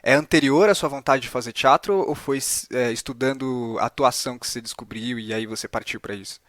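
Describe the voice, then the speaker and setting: A man's voice: medium pitch, fairly quick, fairly bright tone, no echo, clean studio sound. A man with a São Paulo Brazilian accent, interviewing in a casual, chatty podcast style. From Mauá, São Paulo, Brazil.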